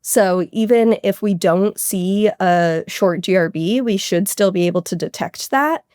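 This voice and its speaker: A woman's voice: medium pitch, measured pace, darkish tone, dry recording, clean studio sound. A woman speaking as an astronomer guest in an interview, explaining technical things in a keen, informal, conversational way. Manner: keen, informal, technical with frequent hedging asides.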